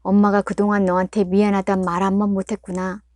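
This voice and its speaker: A patient, remorseful middle-aged mother, voiced by a woman reading aloud, gentle and apologetic, holding back her frustration. The reading is close and dry, with no room sound. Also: medium pitch, level tone, fast talker, dry recording, lightly acted. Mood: placating. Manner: soft, apologetic, pleading.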